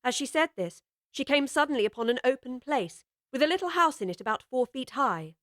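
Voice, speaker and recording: A woman narrates an audiobook story with measured, expressive delivery. Her voice sits a little high, and the recording is dry and free of room sound. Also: dry recording.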